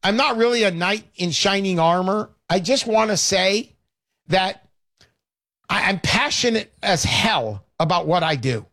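A man in his sixties hosting a call-in radio show, talking in an energetic, emphatic, salesy way. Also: sits high, unhurried, no room sound, clean studio sound. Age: 60s. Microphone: studio microphone.

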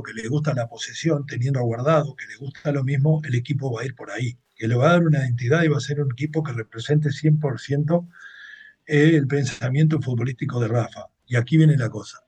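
A man's voice: medium pitch, a little fast, a little mellow, breathy edge. A man hosting a football show, summing up news and giving opinions in a conversational way. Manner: opinionated, conversational, translates and sums up. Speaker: sports show host. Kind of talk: football commentary.

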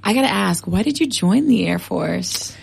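A woman's voice: mid-range voice, expressive, moderate pace, creaky, breathy edge. A woman hosting a morning radio show, interviewing a guest in the studio with a bubbly, enthusiastic manner. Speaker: radio host.

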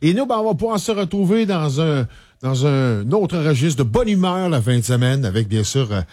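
A man's voice: on the high side, animated, normal speed, darkish tone, no echo, studio quality. A man with a Quebec French accent, hosting a radio show in a casual chatting style. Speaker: radio host.

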